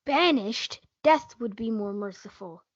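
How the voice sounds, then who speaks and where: A passionate, hot-blooded young lover played by a young woman in a stage play reading. The voice is fairly high and close, with little room sound, on a dull, lo-fi recording. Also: expressive, a little slow, dry recording. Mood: anguished. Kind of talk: student readers theatre performance. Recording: muffled lo-fi home recording.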